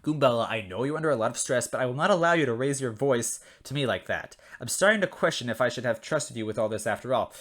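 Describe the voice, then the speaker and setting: A man voicing a sweet, stern and compassionate princess who runs a police station, speaking softly in a story. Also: medium pitch, expressive, fast talker, few pauses. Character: soft-voiced, sweet but stern princess police chief.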